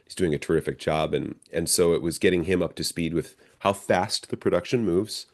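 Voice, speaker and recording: An American man giving conversational, reflective interview answers as a guest, explaining things in a measured, step-by-step way. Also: deep voice. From northern Minnesota, United States. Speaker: interview guest, professional stage actor.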